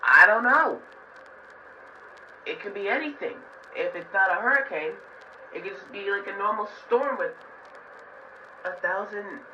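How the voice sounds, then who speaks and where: A man's voice: sits high, lively intonation, a little slow, pauses often, pushed and bright, heavily acted. A man playing a bewildered, rambling space cadet phoning into a mock newscast. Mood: panicked confusion. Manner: rambling, flustered. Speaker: interviewed guest. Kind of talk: improvised mock news interview. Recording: muffled lo-fi line, faint noise.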